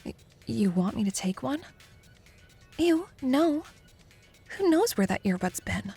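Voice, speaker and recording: Young female college rival, played by a woman, audio roleplay. Breathy, slow delivery, teasing and flustered, secretly fond. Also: on the high side, very animated, warm tone, soft background music, full character voice. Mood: grudging, prickly. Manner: teasing, halting, flustered deflection. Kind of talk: intimate audio roleplay acting.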